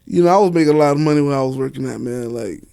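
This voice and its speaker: A man's voice: medium pitch, very fast, gravelly, mellow, smooth, no echo. A man telling personal stories casually as an interview guest, slangy and unfiltered, swearing freely. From Miami, Florida. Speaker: interview guest, author and stage play director.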